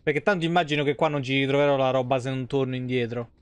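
A man's voice: medium pitch, little pitch movement, quick pace, clean tone, no room sound. A man in his thirties giving casual, chatty live gameplay commentary in an informal way. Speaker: game streamer. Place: small indoor room.

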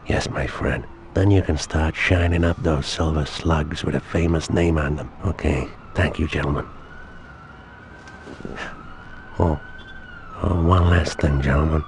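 An actor playing a controlled, quietly threatening male crime boss in a video game, speaking in a measured way. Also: very deep voice, fairly even intonation, creaky, a little hiss or hum. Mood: commanding. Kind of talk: cinematic video game cutscene acting.

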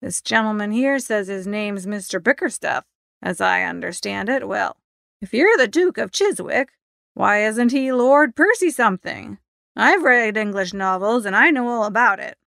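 Woman as a blunt, creaky businessman. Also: medium pitch, animated, normal speed, fairly bright tone, no echo, studio quality. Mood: blunt, suspicious. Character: stout, suspicious, blunt American businessman. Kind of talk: audiobook character reading.

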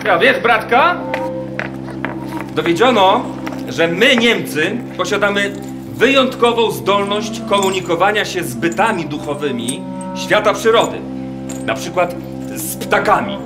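An actor in a radio play voicing a condescending German camp officer who collects birds, halting and musing between commands. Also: male voice, high voice, animated. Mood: lecturing. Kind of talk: radio drama acting. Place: wooden barn, live performance. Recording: roomy barn sound with music behind. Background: music.

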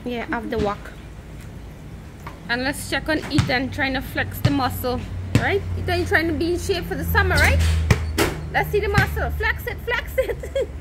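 A woman co-hosting a casual cooking chat, playful and quick to laugh. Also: high-pitched, loud music behind the voice. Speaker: co-host.

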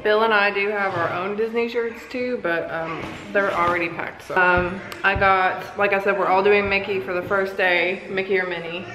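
A woman's voice: medium pitch, fairly even intonation, normal speed, few pauses, echoey room, low-quality recording. An American woman in her thirties chatting casually to camera as a vlogging mother. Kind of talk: casual family vlog chat. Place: at home and in a parked or moving car. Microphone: camera at about arm's length.

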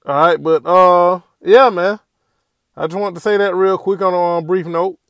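A man's voice: sits high, fairly even intonation, normal speed, a bit bright, dry recording. A man giving casual, rambling commentary over a video, leaning on fillers like "all right" and "man". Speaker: commentator reacting to a street video.

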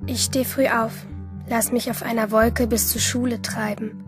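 A woman's voice: a little high, level tone, measured pace, over music, lightly acted. An actress playing a moody, infatuated teenage schoolgirl, narrating inwardly and intimately in a radio play. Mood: dreamy, observant. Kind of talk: first-person radio play monologue.

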